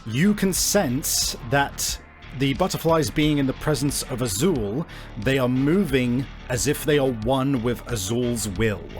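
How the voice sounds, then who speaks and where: A man acting as game master, improvising narration and rulings for a tabletop game in deliberate, hesitant phrases. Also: mid-range voice, lively intonation. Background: faint music.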